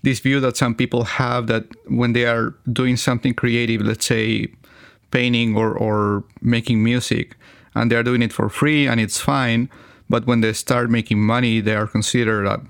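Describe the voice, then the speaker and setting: A man interviewing a guest, asking questions in a halting way and repeating words as he goes. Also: medium pitch, little pitch movement, gravelly, no echo, clean studio sound.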